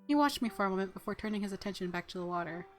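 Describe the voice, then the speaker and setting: A woman's voice: mid-range voice, animated, very fast, soft background music. A woman hosting a let's play, reading story text and adding playful, casual asides. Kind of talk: narration with casual commentary.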